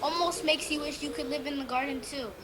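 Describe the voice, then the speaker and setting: A woman playing a dutiful, watchful guardian angel with composed, deliberate delivery in a radio play. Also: sits high, steady tone, music in the background, lightly acted. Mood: friendly, watchful. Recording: very noisy home recording, ambience.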